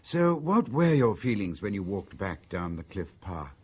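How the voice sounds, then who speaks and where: A curious, prompting colleague. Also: male voice, fairly low pitch, big pitch swings, a little slow, darkish tone, husky, exaggerated voice.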